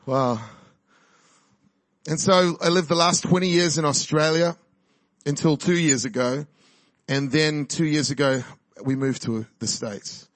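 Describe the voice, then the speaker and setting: A man's voice: a little high, no echo. A man in his forties with a New Zealand accent, a guest preacher giving a chatty, exuberant sermon and personal testimony in a church, often laughing and rallying the crowd.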